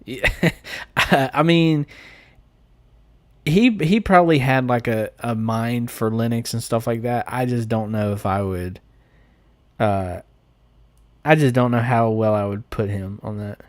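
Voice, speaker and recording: A man's voice: medium pitch, a little slow, pauses often, no echo. A man with a Southern American accent chatting informally and jokingly on a live stream as he answers comments. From United States.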